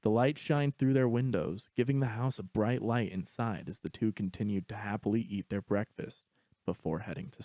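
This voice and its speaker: Low-voiced male story narrator. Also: warm tone, dry recording.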